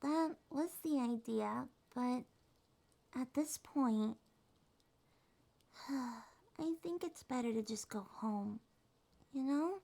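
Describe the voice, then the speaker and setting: A woman voicing a shy, sweet young succubus monster girl in a soft, breathy, hesitant ASMR roleplay. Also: high voice, slow pace, pauses often, exaggerated voice. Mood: sheepish, soft. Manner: hesitant, gentle, slightly stammering.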